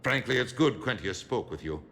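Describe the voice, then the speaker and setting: An actor playing a stern, shrewd older politician in a video game, speaking in a measured, formal, rhetorical way. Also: male voice, fairly low pitch, lively intonation, vocal fry, bright, forceful tone, faint music behind, full character voice. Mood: grim, businesslike.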